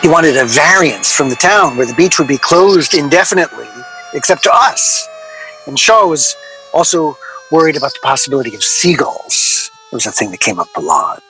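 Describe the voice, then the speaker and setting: A man playing a wry, middle-aged record-label veteran in a radio drama, reminiscing to an interviewer in a halting, digressive way. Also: a little high, sing-song, sharp tone, faint music behind, exaggerated voice, low-quality recording. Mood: wry reminiscence. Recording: location recording with clear background noise.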